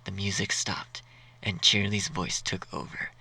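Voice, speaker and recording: A man's voice: deep voice, little pitch movement, moderate pace, creaky, bright, forceful tone, breathy edge, rough recording. A man reading a scripted story as its narrator.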